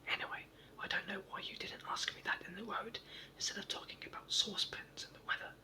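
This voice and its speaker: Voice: medium pitch, steady tone, quick pace, sharp tone. A reader whispering a deaf, huffy saucepan man. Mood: cross. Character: deaf, muddled little old saucepan man. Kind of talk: whispered storybook reading.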